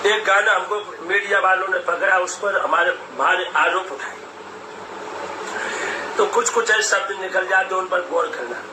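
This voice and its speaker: A man's voice: high voice, normal speed. An elderly man, a religious storyteller, answering interview questions informally in a rambling way that repeats phrases. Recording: noisy echoey call recording, distant voice.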